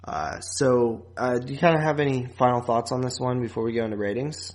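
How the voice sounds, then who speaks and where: A man's voice: medium pitch, fairly even intonation, a little fast. A man hosting a podcast, chatting casually about films and often restarting his thoughts. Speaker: podcast host. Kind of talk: casual film review chat.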